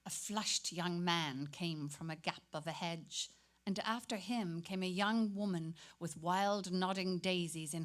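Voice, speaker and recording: A woman narrating literary prose in a dramatic reading. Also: on the low side. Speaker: actor reading aloud.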